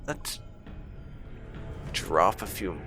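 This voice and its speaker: A man's voice: sits low, very slow. A man giving casual gameplay commentary in a wry, understated manner. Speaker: gaming channel host. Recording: game music loud behind voice. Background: game music.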